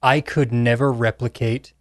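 Man, medium pitch, dry recording, thoughtful casual podcast talk, measured explanations. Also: steady tone, no echo. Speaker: podcast panelist. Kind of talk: casual film and game discussion.

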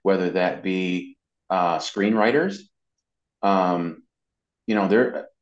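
American guy in his 50s, deep voice, rambly casual interview chat, roomy echo. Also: slow. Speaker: interview guest, web marketing professional. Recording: muffled lo-fi sound in echoey room.

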